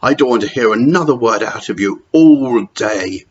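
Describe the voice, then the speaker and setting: A man's voice: medium pitch, animated, moderate pace, husky. A man voicing a cruel, scornful female hospital matron with a sneering manner. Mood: harsh. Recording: dull lo-fi recording.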